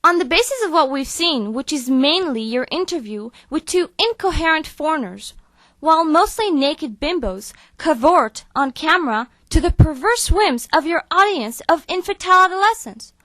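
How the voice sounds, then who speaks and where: A woman playing an imperious, brusque high-powered talent agent in a satirical audio drama. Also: a bit bright, no room sound. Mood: disdainful. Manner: haughty, brusque. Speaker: actor.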